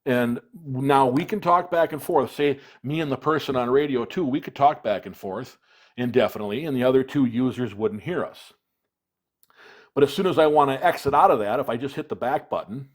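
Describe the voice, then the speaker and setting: A man presents a hands-on product demonstration in a casual way. His voice sits at an average pitch, and he explains each step in plain, practical words. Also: moderate pace. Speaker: product reviewer, video presenter.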